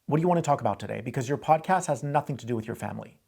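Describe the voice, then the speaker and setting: A man's voice: medium pitch, lively intonation, rapid-fire, vocal fry, a little mellow, husky. A man hosting a call-in advice show, coaching a caller in a direct way and often repeating himself. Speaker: host giving advice.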